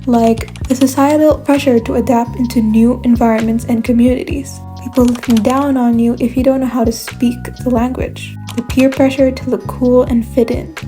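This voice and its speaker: A young woman reading a scripted video essay as its host, in a measured, even explanatory way. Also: fairly high pitch. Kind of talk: scripted narration.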